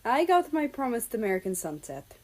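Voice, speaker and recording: A woman voicing an easygoing, teasing male travel companion in a story reading, relaxed and thoughtful in manner. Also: a little high, brisk, voice pushed up. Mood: content.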